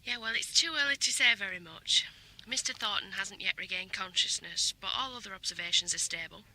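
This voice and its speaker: An actress playing a guarded, matter-of-fact intensive care sister in a radio drama, speaking over the telephone. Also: female voice, medium pitch, brisk, pushed and bright. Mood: guarded, professional. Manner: guarded, clinical. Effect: telephone line. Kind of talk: naturalistic radio drama acting.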